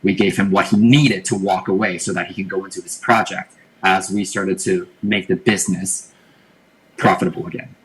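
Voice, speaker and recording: Male podcast guest from Taiwan, lower voice, thoughtful, explanatory. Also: little pitch movement, moderate pace, low-quality recording. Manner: thoughtful, explanatory, repeats words while thinking. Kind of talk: casual interview talk.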